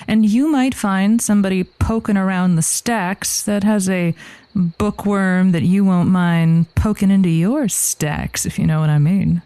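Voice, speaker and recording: A woman's voice: mid-range voice, mellow, smooth, no room sound, lightly acted. A woman playing a suggestive, innuendo-loving radio advice host in a comic audio drama. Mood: suggestive, encouraging. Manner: slow, suggestive delivery. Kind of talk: comic radio show acting.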